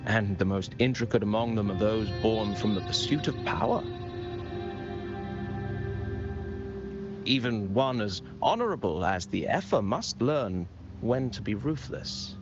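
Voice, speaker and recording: A man playing a courtly, cryptic traveling poet in a video game, speaking formally and eloquently in measured phrases. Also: lowish voice, expressive. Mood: courtly, grave. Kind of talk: theatrical game dialogue, poetic storytelling. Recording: muffled game audio under music.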